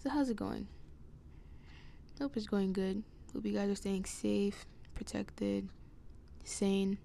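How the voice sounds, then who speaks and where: Young woman with scratchy, creaky voice. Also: mid-range voice, slow, warm tone. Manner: hesitant, meandering. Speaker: podcast host. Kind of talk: casual rambling solo chat. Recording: noticeable background noise.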